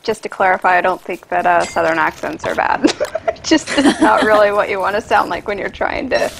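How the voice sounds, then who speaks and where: A woman's voice: mid-range voice, brisk, vocal fry, slight background noise, rough recording. A woman in her twenties chatting on a game stream, giggly and self-deprecating, with frequent exclamations. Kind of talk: casual stream chat.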